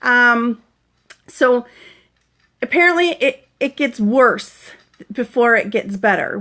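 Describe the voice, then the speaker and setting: A woman in her forties from Canada, a fitness coach talking casually and earnestly to viewers about recovering from a concussion. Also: a little high. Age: 40s. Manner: chatty, earnest, breaks off to greet viewers brightly. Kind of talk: casual live-stream vlog, greeting viewers.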